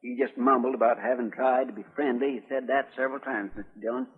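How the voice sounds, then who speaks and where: An actor playing an obliging, respectful, plain-spoken deputy in a 1950s radio western. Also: male voice, mid-range voice, fairly even intonation, fast talker, breathy edge. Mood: earnest, reporting. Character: dutiful, deferential deputy to a western marshal. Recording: tinny old radio broadcast, long reverb.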